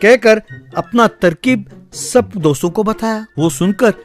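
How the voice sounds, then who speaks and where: A man's voice: sits high, a little slow, faint music behind. A man reading scripted narration for a cartoon story.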